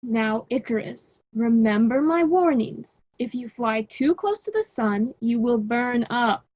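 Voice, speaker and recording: A woman's voice: on the high side, lively intonation, dry recording. A woman playing a worried, earnest father and inventor in an amateur radio drama, on a slightly dull recording. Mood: cautioning. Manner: earnest, deliberate, instructive.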